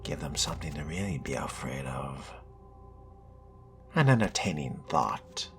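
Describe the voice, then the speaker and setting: A man roleplaying through a game in character, musing to himself in a wry, measured and formal way. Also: a bass voice, lively intonation, faint music behind. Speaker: roleplaying gamer narrating a playthrough.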